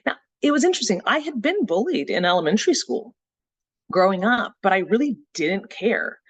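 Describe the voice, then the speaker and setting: A speaker from Texas telling a personal story in a motivational talk, earnest and reflective, often pausing on "you know" and "uh". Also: mid-range voice, expressive, breathy edge, dry recording. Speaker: summit speaker.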